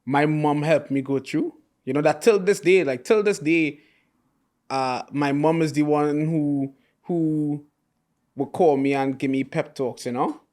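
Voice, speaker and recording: A man hosting a podcast, asking friendly questions and chiming in with quick agreement. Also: a little high, darkish tone. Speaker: podcast host. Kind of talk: casual interview chat.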